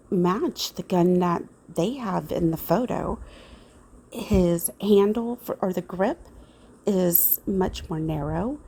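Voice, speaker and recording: A woman's voice: medium pitch, creaky, dark tone, breathy edge, exaggerated voice. A woman acting as an earnest investigator in an old time radio style mystery, speaking emphatically about evidence. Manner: slow, emphatic. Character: earnest female investigator questioning suspect evidence.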